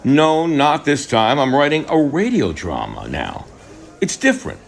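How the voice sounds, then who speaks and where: A man's voice: mid-range voice, huge pitch swings, measured pace, creaky, exaggerated voice. A man playing a frustrated, discouraged middle-aged writer in a radio drama, speaking in a hesitant, confessional way. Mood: earnest, searching.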